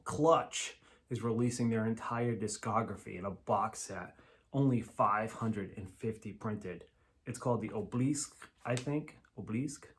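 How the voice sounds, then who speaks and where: A middle-aged man with a New England accent, chatting casually as a vlog host, relaxed and enthusiastic, often trailing off at the ends of phrases. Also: on the low side, unhurried. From New Jersey, raised in Massachusetts. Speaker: vinyl collector host.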